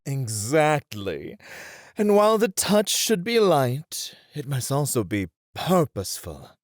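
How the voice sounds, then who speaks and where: A man playing a suave, playfully formal etiquette tutor in an ASMR roleplay, with theatrical, deliberate, flowery phrasing. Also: mid-range voice, big pitch swings, dry recording, clean studio sound. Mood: teasing, encouraging.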